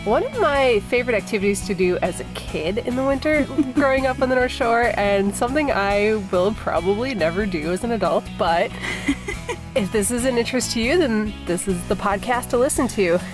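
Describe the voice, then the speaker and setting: A woman's voice: fairly high pitch, lively intonation, normal speed, music in the background. A woman in her thirties from Minnesota co-hosting a casual podcast, congested from a cold, chatty and laughing often. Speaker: podcast co-host.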